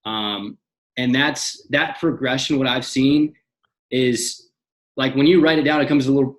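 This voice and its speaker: A young man in his twenties, a podcast guest talking in an energetic casual way, often repeating and restarting words. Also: mid-range voice, rough recording. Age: young adult. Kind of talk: casual interview chat.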